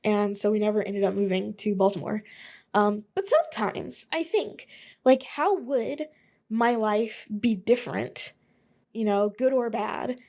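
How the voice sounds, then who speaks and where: A woman's voice: medium pitch, expressive, unhurried, gravelly, darkish tone. A young woman from Alabama hosting her own podcast, rambling chattily with lots of fillers. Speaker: podcast host. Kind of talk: casual solo podcast monologue.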